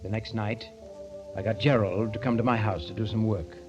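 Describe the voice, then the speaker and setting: An actor playing a cold, scheming killer in a 1940s radio thriller, with an intense, smoothly condescending manner. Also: male voice, lowish voice, steady tone, moderate pace, over music, close to an everyday voice. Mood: grim. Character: cold, scheming man confessing to a killing, sly and needling. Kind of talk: 1940s radio thriller acting, first-person narration and dialogue. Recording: muffled, noisy old radio recording.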